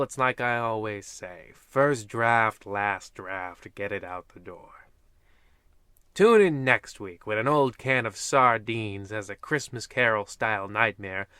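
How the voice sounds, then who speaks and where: Smooth, bright-voiced smug radio host played by a man. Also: medium pitch, no room sound, clean studio sound. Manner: mock-solemn, smug. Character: smug, self-satisfied male radio anthology host. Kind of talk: old-time radio host monologue.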